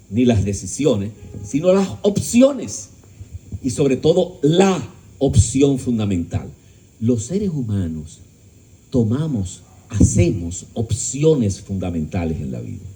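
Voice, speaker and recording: A middle-aged man lecturing a live audience on philosophy. His voice is very dark and mellow, with middling pitch. He leans into words with shifting pitch and loudness, tosses out rhetorical questions and drops in a joke now and then. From Dominican Republic. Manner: emphatic, shifting pitch and volume. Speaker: speaker at a discussion gathering.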